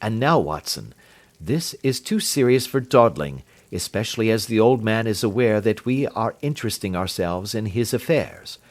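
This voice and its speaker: A man voicing a calm, amused detective who speaks quietly and imperturbably. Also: lowish voice, normal speed, natural voice. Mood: explaining.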